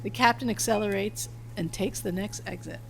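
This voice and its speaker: A woman's voice: medium pitch, moderate pace, gravelly, slight background noise, poor audio. A woman giving scripted narration, reading out stage directions between lines of dialogue.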